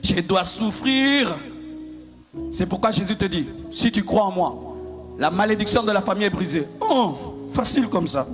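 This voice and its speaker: A man from the Congo preaching a fervent sermon as a pastor, repeating lines and shouting in a half-sung cadence. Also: sits high, animated, normal speed. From Democratic Republic of the Congo. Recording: preaching over loud music.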